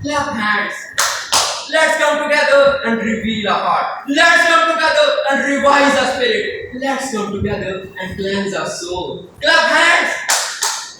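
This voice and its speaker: Echoey, high-pitched young man reciting poetry. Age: young adult. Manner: emphatic, declamatory, drawn-out phrasing. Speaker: student poetry reciter in a competition. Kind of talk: theatrical poem recitation. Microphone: distant microphone. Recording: echoey, noisy hall, far from mic.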